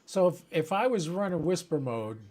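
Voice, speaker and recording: A man's voice: on the high side, animated, normal speed, a little mellow. A man hosting a hobby channel, giving an informal live demonstration and thinking aloud as he works at his computer. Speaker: host of a ham radio channel. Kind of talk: casual live demonstration, talking to viewers.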